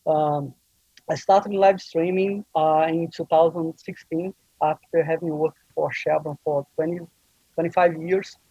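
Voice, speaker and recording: A man's voice: fairly high pitch, steady tone, unhurried, dark tone, husky, dry recording, lo-fi sound. A man from Brazil with a Brazilian Portuguese accent, a guest talking casually on a video call with frequent hesitations. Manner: hesitant, many fillers. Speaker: guest, live streaming producer.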